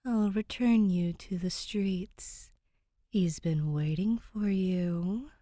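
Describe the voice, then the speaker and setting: A woman voicing an ancient, regal female city ghost in a fan fiction reading, mysterious and amused, with slow, formal, stately speech. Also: mid-range voice, dark tone, dry recording, clean studio sound, close to an everyday voice. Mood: knowing. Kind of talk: fan fiction audiobook character voice.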